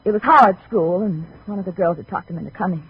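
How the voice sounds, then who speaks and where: An actress playing a troubled, guarded American femme fatale with melodramatic hesitations, in 1950s radio drama style. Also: female voice, lowish voice, quick pace, close to an everyday voice. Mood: confiding. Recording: dull, faintly noisy vintage radio recording.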